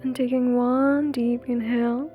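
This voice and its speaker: A woman leading a guided meditation in a soothing, breathy, gentle way, leaving long pauses between phrases. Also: on the high side, level tone, phone-quality sound. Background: faint music.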